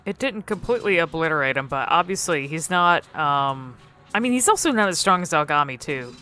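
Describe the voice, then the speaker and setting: A woman's voice: low voice, animated, a little fast, pushed and bright. A woman giving casual, unscripted commentary on game cutscenes, musing hesitantly and often trailing off mid-thought. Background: music.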